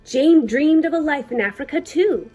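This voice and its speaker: High-pitched, lively woman reading a children's story. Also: normal speed, dull audio, soft background music. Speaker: librarian reading a picture book aloud. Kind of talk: children's storytime read-aloud.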